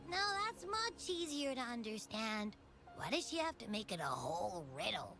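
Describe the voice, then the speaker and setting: A sleepy little creature. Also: female voice, fairly high pitch, very animated, moderate pace, music in the background. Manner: drowsy, puzzled by humans. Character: small sleepy female companion creature. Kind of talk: game voice acting.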